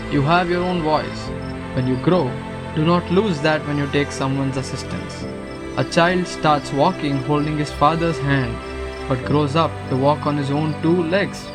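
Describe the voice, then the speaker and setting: A man playing a kindly guru in an audio drama, speaking in a gentle, measured, instructive way. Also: fairly high pitch, natural voice. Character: calm, kindly male guru counselling a troubled student. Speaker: student actor. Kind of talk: scripted audio drama acting. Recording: music under the voice. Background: music.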